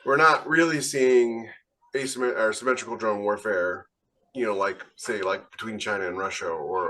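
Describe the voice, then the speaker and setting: A man's voice: fairly low pitch, fairly bright tone. A man chatting on a panel podcast in a blunt, matter-of-fact, opinionated way. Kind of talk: casual opinionated discussion.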